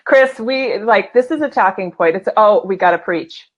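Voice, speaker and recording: A woman's voice: medium pitch, normal speed, highs cut off. A woman in her forties from California, hosting a casual interview chat with eager, chatty talk. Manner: enthusiastic, chatty, uses "like" often. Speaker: host.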